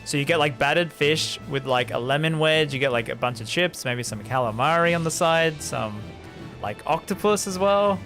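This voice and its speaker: A man's voice: a little high, lively intonation, moderate pace, a bit bright. A man giving casual, chatty game commentary on a live stream, rambling and easily amused. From Australia. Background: game music.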